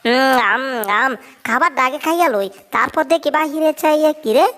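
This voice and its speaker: Cartoon dub role: a little scheming mouse, very high-pitched, whiny and greedy. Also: normal speed, bright, forceful tone. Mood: frightened. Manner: whining, exclamatory, self-narrating. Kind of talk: Bengali comedy cartoon dub.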